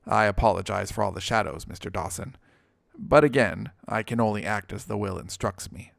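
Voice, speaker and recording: Man playing a courteous, formal lawyer, creaky voice. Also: fairly low pitch, fairly bright tone, no room sound, clean studio sound. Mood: apologetic. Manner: polite, formal, measured. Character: courteous, formal male lawyer serving a wealthy estate. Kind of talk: audiobook character dialogue.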